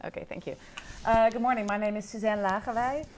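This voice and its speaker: A woman's voice: a little high, steady tone, fast, background noise. A woman from the Netherlands, a VR videographer, politely introducing herself as a guest speaker at a conference.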